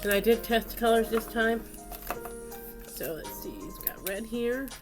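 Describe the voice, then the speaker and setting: A woman's voice: a little high, a little slow, over music. A woman with a stuffy, congested voice, hosting a casual craft video with rambling, unscripted narration as she works.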